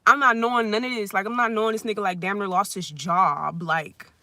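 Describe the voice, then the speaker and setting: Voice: medium pitch, fairly even intonation, brisk, pushed and bright, no room sound. A vlogger in their twenties telling a casual storytime rant at a friend's house, rambling with constant "like" fillers and blunt, profane wording. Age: 20s. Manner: rambling, heavy use of "like" fillers.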